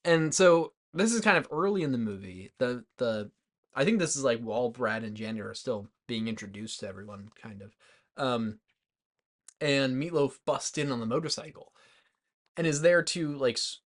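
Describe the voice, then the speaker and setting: A man's voice: medium pitch, normal speed. A tipsy man co-hosting a podcast, chatting casually about movies in a rambling, hesitant way. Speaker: podcast co-host.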